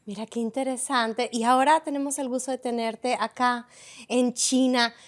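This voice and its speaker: A woman's voice: on the high side, moderate pace. Effusive woman hosting an interview. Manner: effusive, expressive, with fillers like "este". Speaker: interview host. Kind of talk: warm conversational interview. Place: a Latin music bar.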